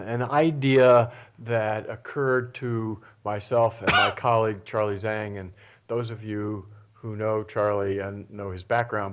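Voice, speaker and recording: Deliberate older man, low voice. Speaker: event organizer. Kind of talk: press conference speech.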